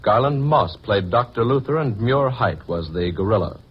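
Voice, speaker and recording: A dull vintage radio recording of a male announcer. He reads formally and at a measured gait, with a husky edge to his mid-pitched voice. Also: animated. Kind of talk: 1940s radio announcing, scripted credits.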